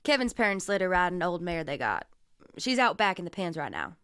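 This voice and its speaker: An actress playing a steady, guarded middle-aged mother and protective sister in a small town, in radio drama. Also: lowish voice, brisk, clear, resonant tone, dry recording, clean studio sound. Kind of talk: naturalistic radio drama acting.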